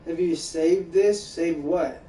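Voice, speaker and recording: A man's voice: a little high, takes it slowly, mellow, reverberant, distant mic, a little hiss or hum. A man streaming a game, giving repetitive mentor-like advice in casual chat and calling the listener bro and buddy. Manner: talks like an older mentor, repeats himself, calls the listener bro and buddy.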